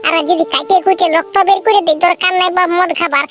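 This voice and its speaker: A man voicing a brash, bossy young village man in a comic cartoon, with a Purulia Bengali accent and an artificially pitched-up, chipmunk-like voice, blustering and repeating his words. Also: fairly even intonation, fast, over music. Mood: eager, wary.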